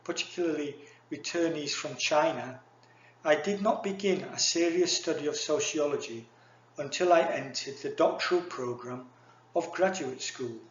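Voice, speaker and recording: Guy reading a uni lecture, kinda high voice, echoey dull audio, slow and formal. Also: a little hiss or hum. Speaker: university lecturer.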